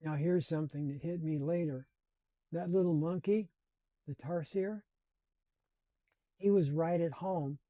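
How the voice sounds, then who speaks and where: A man in his seventies telling his life story in a slow, reflective and earnest way. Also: a little high, mellow, dry recording. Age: 70s. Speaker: channel host. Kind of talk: personal storytelling.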